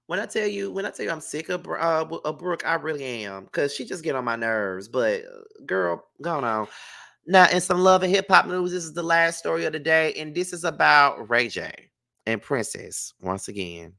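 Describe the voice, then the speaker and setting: A man's voice: on the high side, moderate pace, creaky, sharp tone. A congested man giving casual, blunt and sassy gossip commentary as a vlog host, with emphatic, profane delivery. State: congested with a cold. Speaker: entertainment gossip vlogger and host. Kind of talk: casual opinionated reality TV commentary.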